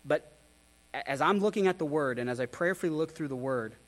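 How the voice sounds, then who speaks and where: A man's voice: a little high, a little fast, a bit bright. A man preaching a sermon, candid and self-deprecating, who asks his listeners rhetorical questions. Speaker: pastor.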